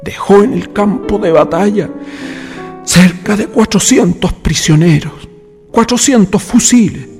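Male narrator, high gravelly voice, dramatic historical storytelling, music behind, reverberant. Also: a little slow, a little mellow.

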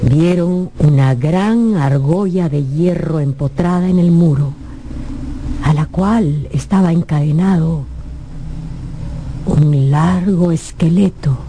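A woman's voice: sits low, slow, dark tone, a little hiss or hum. A woman narrating a scripted radio drama with a dramatic storytelling delivery.

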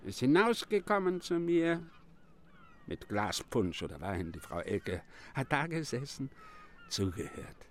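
An actor playing a rustic wandering village fiddler, sly and bitter, talking in clipped, folksy, mocking phrases in a radio drama. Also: male voice, medium pitch, huge pitch swings, measured pace, a little hiss or hum. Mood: bitter, indignant. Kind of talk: German radio drama acting.

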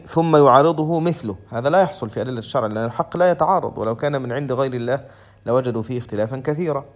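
Brisk man teaching law. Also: mid-range voice. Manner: methodical, restates each point in simpler words. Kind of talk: lecture explaining a legal text.

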